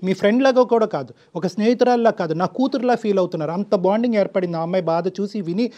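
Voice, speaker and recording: Male talk-show counsellor, high voice, rapid delivery, emphatic, long-winded advice sprinkled with English. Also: warm tone.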